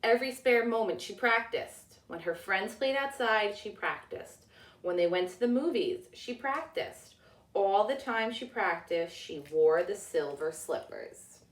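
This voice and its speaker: A woman narrating a children's storybook as a teacher reading aloud, with deliberate, expressive delivery. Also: medium pitch, recorded from a distance. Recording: echoey room, away from the mic.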